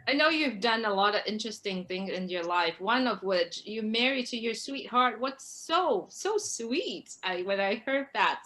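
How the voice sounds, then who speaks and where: A woman's voice: fairly high pitch, pushed and bright. A female co-host in a casual interview who asks her questions hesitantly, with frequent "um" fillers.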